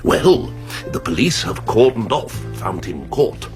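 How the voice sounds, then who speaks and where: An actor playing a wry, flippant college academic in a British radio drama, with a dry, teasing manner. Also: male voice, lowish voice, very animated, a little slow, over music.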